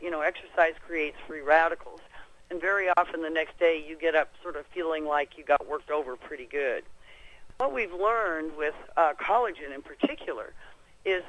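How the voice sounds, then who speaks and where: A woman's voice: low voice, a bit bright, highs cut off. An American woman answering as an expert guest on a conference call, explaining things in a folksy, conversational way. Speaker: expert guest, clinic practitioner.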